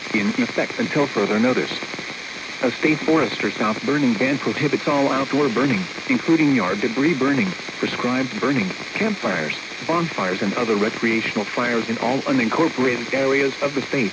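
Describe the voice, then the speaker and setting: A computer-generated male voice reading a weather bulletin in a mechanical, evenly paced way, with clipped headings between items. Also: mid-range voice. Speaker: automated weather radio announcer. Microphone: weather radio receiver. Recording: dull radio broadcast with loud static.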